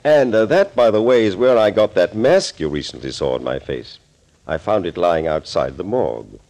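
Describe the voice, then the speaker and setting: An actor playing a suave, smug villainous doctor in a 1940s radio thriller, speaking politely and wordily. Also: male voice, low-pitched, sing-song, normal speed, darkish tone. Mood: smug, amused. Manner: polite, wordy, theatrical confessing.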